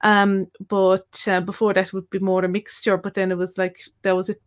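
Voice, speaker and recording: Flat-toned bilingual woman. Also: medium pitch, moderate pace. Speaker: Irish-language teacher on a learning platform. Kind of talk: casual live-stream chat.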